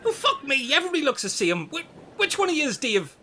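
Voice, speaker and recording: Woman as a high-pitched, foul-mouthed castaway robber. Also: big pitch swings, fast talker, bright, forceful tone, a little hiss or hum, heavily acted. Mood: flustered, blustering. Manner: blunt, sweary. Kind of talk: comedic radio play acting.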